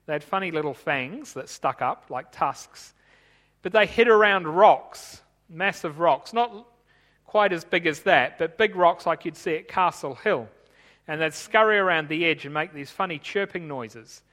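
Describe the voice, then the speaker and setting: A man who grew up in Tanzania, preaching a sermon in a measured, explanatory way while reading from his notes. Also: a little high, lively intonation, fairly bright tone, in a large hall.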